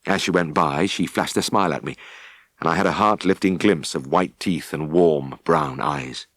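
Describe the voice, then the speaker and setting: A British man narrating a first-person story for an audiobook with expressive, scripted delivery. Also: low voice, moderate pace, dry recording, studio quality.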